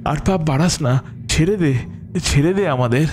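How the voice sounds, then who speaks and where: A man's voice: on the high side, moderate pace, a little mellow, slight background noise, lightly acted. A male actor playing an anxious, earnest captive in a horror audio drama, speaking hesitantly and pleadingly. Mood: desperate pleading. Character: anxious captive man, earnest researcher pleading with his captor. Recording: music under the voice.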